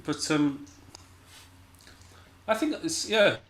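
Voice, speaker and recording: A man's voice: a little high, big pitch swings, takes it slowly, fairly bright tone. A man from Scotland chatting casually as an interview guest, in a hesitant, understated and self-deprecating way. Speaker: interview guest, jazz pianist and musician.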